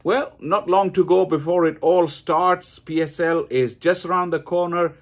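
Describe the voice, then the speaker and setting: A man's voice: sits high, fairly even intonation, unhurried. A middle-aged man hosting an online interview, asking questions in a formal, polite way. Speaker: host. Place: indoors, room with curtains.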